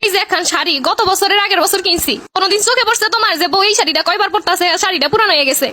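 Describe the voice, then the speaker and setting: A woman plays a sharp-tongued Bangladeshi housewife in a comedy cartoon. Her voice is piercingly high and bright, and she argues at breakneck speed. She scolds and demands as she goes. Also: racing. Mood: angry nagging. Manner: scolding, argumentative.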